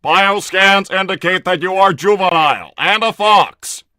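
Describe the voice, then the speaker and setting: Stern literal male robot, played by a man; smooth, crisp, clipped commands, dry mic. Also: high-pitched, unhurried, pushed and bright, dry recording. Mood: clinical.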